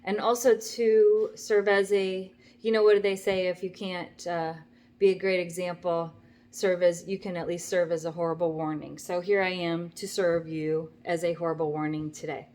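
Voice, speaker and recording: A woman's voice: fairly low pitch, level tone, moderate pace, darkish tone. Older woman rambling to her camera about her kitchen, wry and chatty, lots of asides. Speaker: vlogger. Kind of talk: casual talking-to-camera story. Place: home kitchen, indoors.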